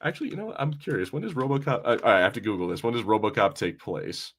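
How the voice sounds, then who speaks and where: A man's voice: lowish voice, big pitch swings, fast, fairly bright tone, breathy edge. A man co-hosting a podcast in casual film chat, rambling informally and often cutting himself off. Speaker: podcast co-host. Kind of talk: casual watch-party chat about films.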